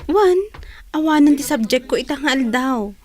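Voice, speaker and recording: A woman's voice: high-pitched, expressive, moderate pace, darkish tone. A woman acting a caring, generous young student and loyal friend in a radio drama. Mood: friendly.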